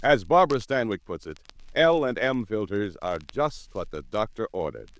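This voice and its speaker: A man's voice: lowish voice, very animated, moderate pace, low-quality recording. A man reading a 1950s radio commercial with an emphatic, punchy sales delivery. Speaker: commercial announcer.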